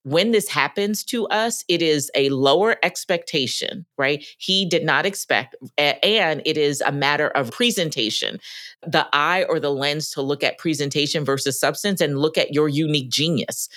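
A woman co-hosting a podcast, talking in an emphatic, lively way about workplace experiences. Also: low voice, moderate pace, no room sound, clean studio sound. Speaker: podcast co-host. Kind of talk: conversational podcast discussion.

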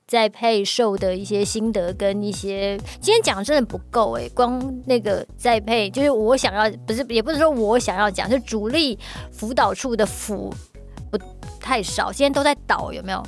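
A woman's voice: mid-range voice, normal speed. Playful Taiwanese woman podcast host. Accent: Taiwanese Mandarin. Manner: playful, teasing. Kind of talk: casual chat. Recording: music playing underneath.

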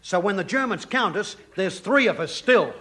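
A man's voice: sits high, lively intonation, moderate pace. A man playing an eager, naive soldier with earnest daft ideas in a 1950s radio sitcom. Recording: old radio recording, faint noise.

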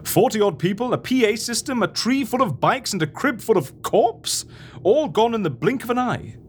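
A man's voice: high voice, sing-song, moderate pace, a little hiss or hum. A man playing an eager, theatrical schemer who is proud of his plots, in a comedy audio drama. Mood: eager, grandiose.

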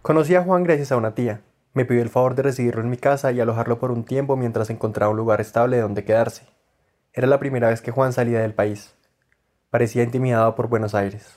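A man hosting a travel podcast, reading scripted narration in a clear, steady way. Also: medium pitch, fairly quick. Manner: clear, steady, read-aloud delivery.

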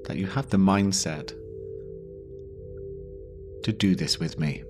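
A man guiding a yoga nidra meditation, giving gentle instructions slowly with long pauses. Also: deep voice, music in the background. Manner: slow, pausing, gentle instruction. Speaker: meditation guide.

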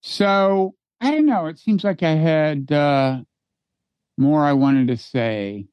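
A nasal, slightly whiny man in his sixties, pitched a little above most men, talking politics in easy back-and-forth. His audio sounds dull and lo-fi, like a remote line, and the room is dry with no echo. He teases his co-host in a deadpan way. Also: slow pace, smooth. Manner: dry, teasing banter. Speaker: podcast co-host. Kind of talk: casual political chat.

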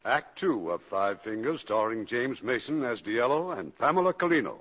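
Formal male announcer for vintage radio, medium-low voice, muffled and heavily noisy sound. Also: animated, moderate pace. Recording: noisy, echoing, muffled old radio recording.

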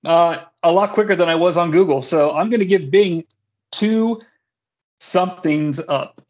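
A man hosting a podcast in casual, jokey chat with animated reactions. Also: fairly high pitch, unhurried. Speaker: podcast host. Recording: dull lo-fi podcast audio.

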